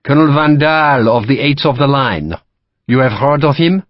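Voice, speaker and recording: A man voicing a smooth, politely mocking young French officer in an audiobook. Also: medium pitch, animated, normal speed, dry recording, lo-fi sound, voice pushed up, natural voice. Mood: proud.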